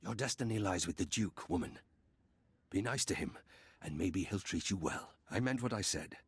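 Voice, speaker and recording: An actor playing a smug male nobleman's henchman in a video game, formal and quietly menacing. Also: sits low, animated, moderate pace, slightly rough, no echo, exaggerated voice. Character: smug male envoy of a lecherous duke. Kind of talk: video game cutscene acting.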